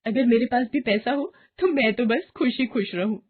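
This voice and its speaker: A woman voicing a greedy, boastful young housewife in a moral tale, excitable and insistent, in a muffled recording. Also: on the high side, normal speed, slightly rough. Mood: defiant, eager.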